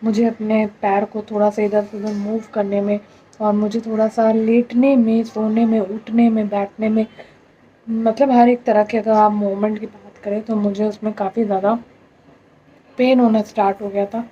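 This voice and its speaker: A hoarse young woman with an Indian accent talks to camera in a tired, plaintive way, her voice husky and very dark, flat in tone. The sound is dull and lo-fi. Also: medium pitch, moderate pace. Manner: tired, plaintive, mixes English words. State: hoarse, throat infection. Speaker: vlogger. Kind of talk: casual talk to camera.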